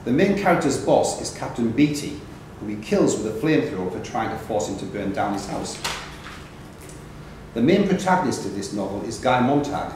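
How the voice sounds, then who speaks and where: A man's voice: mid-range voice, very animated, normal speed. A man working as a quiz question reader, reading long questions aloud at a live event and sometimes stumbling and correcting himself. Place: echoey indoor pub function room. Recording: very echoey noisy room, distant mic.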